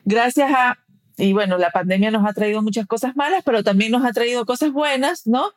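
A woman's voice: fairly high pitch, brisk. A woman from the United States speaking as an interview guest, explaining conversationally and checking in with short tag questions. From raised in the United States. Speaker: podcast guest, perinatal educator and director.

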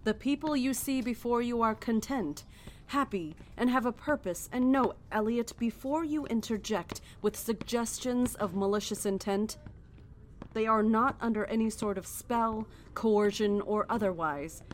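Woman playing a high-voiced, serene cult leader. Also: a little hiss or hum, lightly acted. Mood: serene, commanding. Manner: measured, formal, preaching. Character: calm, zealous female cult leader, persuasive and ominous. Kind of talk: horror audio drama acting.

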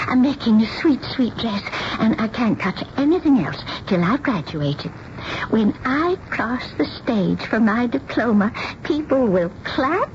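An actress playing a timid, eager seamstress in a radio drama, stammering, flustered and repeating herself. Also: female voice, mid-range voice, close to an everyday voice. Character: timid, fluttery, eager small-town seamstress, woman. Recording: dull lo-fi radio recording, faint hiss.

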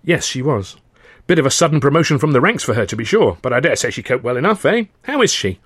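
A man's voice: medium pitch, sing-song, quick pace, a bit bright, husky, no room sound, voice pushed up. A man voicing a cheerful, gossipy upper-class young man with a chummy, posh-sounding manner. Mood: chatty.

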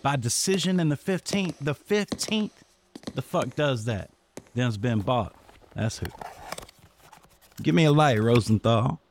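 A man playing a gruff, bullying, cynical soldier in a period audio drama, blunt and slangy. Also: mid-range voice, lively intonation, measured pace, long pauses, slight background noise. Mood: surly, scornful.

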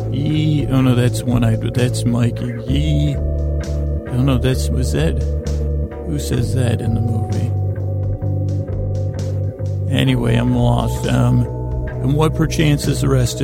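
A man hosting a bedtime podcast, talking in a lulling, meandering monologue, halting often as he stumbles over and repeats words. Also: mid-range voice, vocal fry. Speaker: podcast host. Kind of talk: rambling bedtime monologue to lull listeners to sleep.

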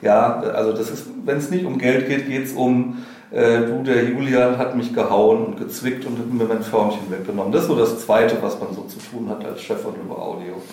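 A man's voice: mid-range voice, steady tone, normal speed, darkish tone. A man interviewed as the boss, chatting casually with a wry, tongue-in-cheek manner. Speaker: audio publishing boss, interviewee. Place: indoor office. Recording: very echoey office, distant from mic.

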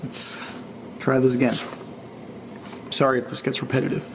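A man playing a jittery late-night audio diarist, speaking quietly and casually. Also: medium pitch, monotone, normal speed, noisy background, natural voice. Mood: uneasy, apologetic. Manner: hushed, conversational, informal. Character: jittery, foul-mouthed man recording a late-night spooky audio diary. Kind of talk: confessional audio-diary horror fiction, casual.

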